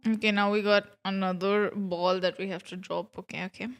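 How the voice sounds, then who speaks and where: A woman's voice: mid-range voice, flat delivery, brisk. A young adult woman streamer chatting informally as she reacts live to a game. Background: game music.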